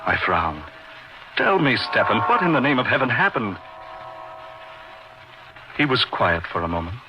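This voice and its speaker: A man acting a haunted, wildly expressive horror narrator. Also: medium pitch, noisy background, close to an everyday voice. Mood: hushed, intense. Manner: theatrical, florid, dramatic pauses. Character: haunted man recounting his uncanny lifelong friend, intense. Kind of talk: old-time radio horror narration. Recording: dull old radio recording with music behind. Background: dramatic music.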